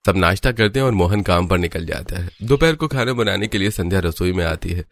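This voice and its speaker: A man's voice: deep voice, animated, brisk. A man narrating a scripted cartoon story. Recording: music behind the voice. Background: background music.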